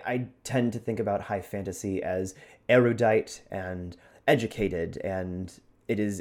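Low-voiced man giving roleplay tips. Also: darkish tone. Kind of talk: conversational instruction on character voices.

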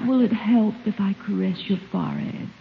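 An actress playing a tender, seductive sweetheart, soft and affectionate, in a radio romantic comedy. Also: female voice, medium pitch, moderate pace, gravelly. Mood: tender, cooing. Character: tender, doting, seductive dream sweetheart woman. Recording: muffled, noisy old radio broadcast.

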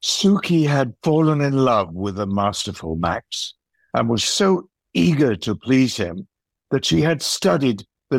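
A man's voice: mid-range voice, dry recording. A man playing the narrator of an audio play about dogs, telling it wryly in scripted storytelling style.

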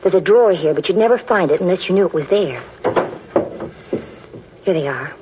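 A woman's voice: lowish voice, expressive, fairly quick, muffled recording, lightly acted. An actress playing an anxious, admiring young woman who helps a detective in a 1940s radio drama, earnest and questioning, on a noisy old recording. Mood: hushed, relieved.

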